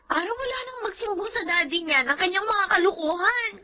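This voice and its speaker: An actress playing a young daughter and student who speaks earnestly in a radio drama. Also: female voice, very high-pitched, expressive, a little fast, pushed and bright, full character voice.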